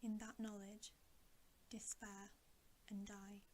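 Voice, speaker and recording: A woman voicing a cruel, gloating storybook witch queen in a breathy, deliberate, commanding whisper. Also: mid-range voice, close to an everyday voice. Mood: gloating, menacing. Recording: steady audible hiss.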